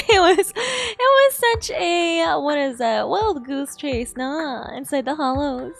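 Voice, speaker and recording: A woman's voice: high voice, fairly bright tone. A woman game streamer giving live reaction commentary in a gushing, exclamatory, emotionally invested way. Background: game music.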